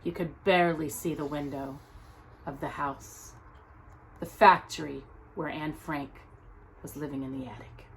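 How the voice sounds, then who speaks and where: Slow, low-voiced woman storyteller. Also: steady tone, a little hiss or hum, low-quality recording. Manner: slow, deliberate, dramatic pauses between short phrases. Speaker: storytime host reading a picture book aloud. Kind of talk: read-aloud storytelling narration.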